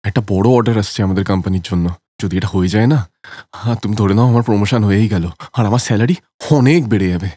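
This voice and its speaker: A man voicing a busy, money-minded young husband in a cartoon story, brisk, dismissive and self-justifying. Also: lowish voice, dark tone, slightly rough, no echo, clean studio sound. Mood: brisk, preoccupied. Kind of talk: cartoon story character acting.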